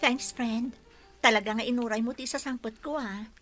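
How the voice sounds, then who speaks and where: A woman playing a sorrowful young woman pining for her sweetheart, soft and plaintive, in an audio drama. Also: a little high, steady tone, normal speed, soft background music, natural voice. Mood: sad, longing. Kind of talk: Filipino audio drama acting.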